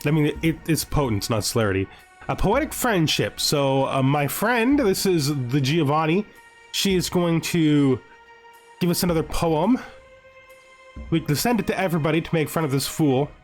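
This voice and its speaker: A man with a slightly high voice gives playful gameplay commentary as a video host. He talks to himself in an animated way, often repeating his phrases. Faint music plays behind him. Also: moderate pace. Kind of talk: playful gameplay commentary with a scripted lore narration.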